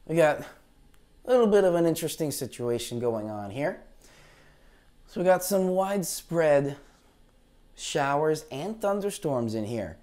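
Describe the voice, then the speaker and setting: Hoarse-voiced man, rambling weather chat. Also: on the high side, dark tone. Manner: chatty, rambling, unsure asides. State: tired, low voice after heavy talking. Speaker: meteorologist hosting a live weather stream. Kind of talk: casual live-stream weather talk. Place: green screen studio, indoors. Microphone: lavalier clip mic.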